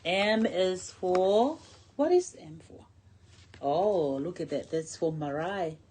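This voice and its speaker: A woman's voice: fairly low pitch, expressive, slow pace, warm tone, slightly rough. A middle-aged woman reading a picture book aloud to a young child in simple short phrases, drawing out key words and pointing things out with questions.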